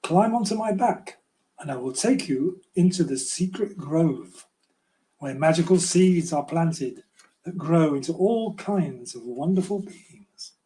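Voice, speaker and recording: An older man voicing a calm, reassuring magical blue horse in a children's storybook. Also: fairly high pitch, unhurried, low-quality recording. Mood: kindly.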